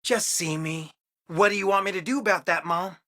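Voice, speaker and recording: A man's voice: sits high, brisk, bright, forceful tone, dry recording. A young man from a well-off family, torn and wounded, in a dramatic video game. He speaks earnestly, with emotional strain, in a dry, close recording. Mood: urgent, pleading, then bitter, angry.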